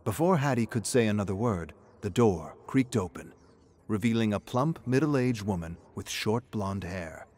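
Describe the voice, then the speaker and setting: Low voiced guy narrating a dramatic story off a script. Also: lively intonation, normal speed, a little mellow.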